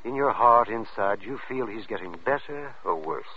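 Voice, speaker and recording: Actor as grave, deep-voiced, earnest doctor. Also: male voice, animated, moderate pace, lightly acted. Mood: grave concern. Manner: earnest, probing, insistent. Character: grave, concerned doctor pressing a patient's wife. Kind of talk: old-time radio drama acting. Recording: muffled vintage radio with faint noise.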